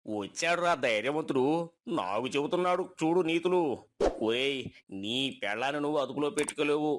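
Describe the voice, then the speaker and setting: Man acting boastful village farmer, animated pitch. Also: a little fast, a little hiss or hum, natural voice. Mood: mocking, challenging. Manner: mocking, challenging. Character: taunting, boastful village farmer, frightened by ghost rumours.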